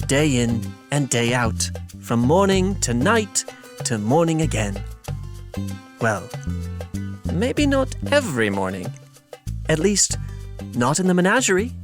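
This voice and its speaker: An actor playing a wry storybook narrator in an audio drama, with a theatrical, measured delivery. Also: male voice, a little high. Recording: music behind the voice.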